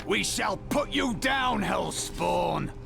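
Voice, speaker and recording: A man's voice: sits high, lively intonation, takes it slowly, gravelly, full character voice. A man playing a stern, devout holy warrior in a video game, formal and emphatic. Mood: defiant. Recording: music behind the voice.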